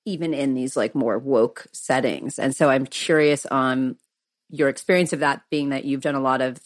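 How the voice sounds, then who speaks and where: A woman's voice: extremely low pitch, moderate pace, no room sound, clean studio sound. A woman co-hosting a podcast, speaking thoughtfully in long, rambling sentences with casual swearing. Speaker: podcast co-host.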